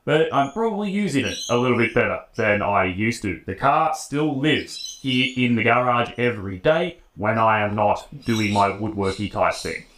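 A man's voice: on the low side, lively intonation, normal speed, hardly any pauses, a bit bright. A man in his thirties with an Australian accent, talking casually to camera as a hobby woodworking creator in his garage workshop. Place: home garage workshop, indoors. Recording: roomy garage echo.

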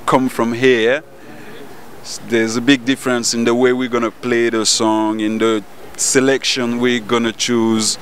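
A man in his thirties from Ivory Coast with a French-speaking West African accent, answering interview questions casually on a rooftop, searching for words with many pauses and repeats. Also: medium pitch, a bit bright. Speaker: DJ and event organizer. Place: outdoor rooftop terrace above a busy street. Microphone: handheld interview mic held by the interviewer. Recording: faint traffic noise outdoors.

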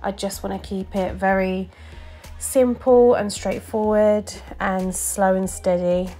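A woman's voice: mid-range voice, steady tone, takes it slowly, warm tone. A woman giving a casual, chatty voice-over for her vlog, with frequent ums. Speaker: vlogger. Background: faint background music.

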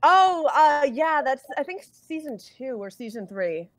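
A woman's voice: sits high, very animated, unhurried, dry recording. A woman chatting casually with friends on an online group call. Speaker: voice actor in a comic dub cast. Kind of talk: casual chat.

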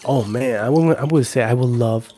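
A man's voice: mid-range voice, moderate pace, mellow, slight background noise. A man in his forties chatting casually and playfully on a livestream, often repeating himself, close to the mic. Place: small room at home. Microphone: handheld-style recorder on a stand, close up.

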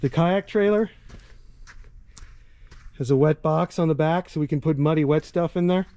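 A man's voice: on the high side, normal speed, warm tone, no echo. A man giving a plain, matter-of-fact walkaround outdoors as a kayak rental guide, talking into the camera he holds. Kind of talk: casual walkaround video. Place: outdoors on a rural property. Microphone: camera held by the speaker.

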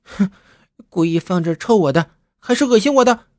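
A shrill, quick-tempered young woman, put on by a male storyteller in a dry, close recording. She whines and snaps in a high, lively voice that swoops up and down. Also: dry recording. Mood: indignant. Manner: shrill, whiny complaining.

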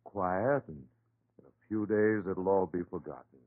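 An actor playing a steady, reassuring doctor in 1930s radio drama, firm, formal and placating. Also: male voice, low-pitched, unhurried, no echo. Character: steady, reassuring doctor soothing a distraught friend. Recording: tinny, muffled 1930s radio broadcast.

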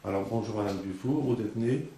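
A man's voice: fairly low pitch, a little fast, dark tone. A man interviewing with brief prompting questions. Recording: echoey room from far off, faint hiss.

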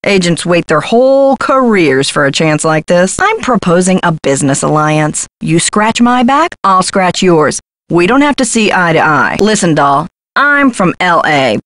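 A woman's voice: lowish voice, big pitch swings, measured pace, few pauses, a bit bright, no room sound. A woman voicing a brash, scheming Hollywood talent agent in a video game, glib and condescending, with slangy talk. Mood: scheming, enthusiastic.

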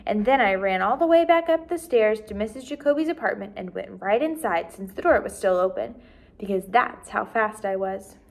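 A woman in her twenties reading a children's book aloud as a teacher, with expressive, clearly paced narration. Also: mid-range voice, normal speed. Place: small decorated indoor room.

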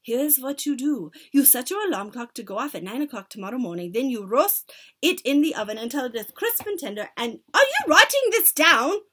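A woman voicing an imperious, scornful Grand High Witch who commands her followers in stilted grammar, as in a storybook. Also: sing-song, brisk. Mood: scornful, instructing. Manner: commanding, shouted declarations in stilted foreign-sounding grammar.

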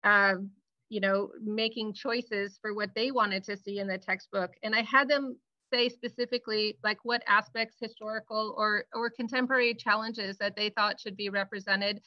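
A woman's voice: mid-range voice, steady tone, moderate pace, no echo, dull audio. A woman teacher sharing ideas in a webinar discussion, hesitant with many restarts.

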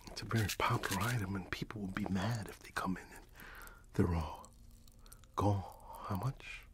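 A middle-aged man in an ASMR roleplay as a friendly candy seller, speaking softly and half in whispers to a customer, close to the mic. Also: low-pitched, fairly even intonation, slight background noise. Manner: soft-spoken, half-whispered, unhurried, friendly shopkeeper patter. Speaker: ASMR performer playing a candy shop keeper. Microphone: close mic, facing the camera at close range.

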